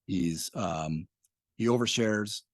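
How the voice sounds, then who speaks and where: A middle-aged man, a podcast creator who talks about his work in a thoughtful, measured way. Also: on the low side, no echo, studio quality. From Spokane Valley, Washington. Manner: thoughtful, measured, some hesitations. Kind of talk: casual interview chat.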